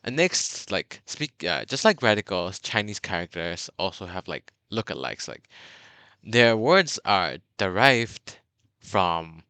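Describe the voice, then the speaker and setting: A young man from Burma talks through a beginner's lesson in a low, bright and crisp voice, dotted with fillers and restarts. The sound is dry and close, with no room around it. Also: big pitch swings, slow, no echo. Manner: chatty, many fillers and restarts. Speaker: language tutor. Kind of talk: casual video lesson.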